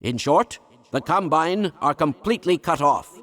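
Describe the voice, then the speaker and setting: A man's voice: on the high side, animated, a little slow, fairly bright tone. An actor playing an eccentric, fussy older male scientist in a video game, absent-minded yet kindly, speaking in wordy, formal, pedantic phrases. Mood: earnest, lecturing. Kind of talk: scripted video game dialogue.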